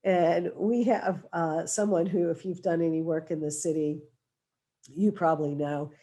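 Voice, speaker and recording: Hoarse woman moderating, with a cold. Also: on the low side, steady tone, a little slow, dark tone. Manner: restarts phrases often, chatty. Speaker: panel moderator. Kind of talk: webinar introduction. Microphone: remote video call.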